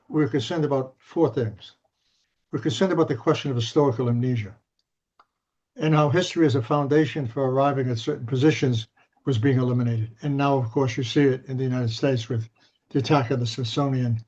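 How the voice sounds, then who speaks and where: A man interviewing a guest, thinking aloud in searching, halting sentences with frequent restarts. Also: mid-range voice, a little mellow. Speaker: interviewer, scholar. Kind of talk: academic interview discussion. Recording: dull lo-fi audio.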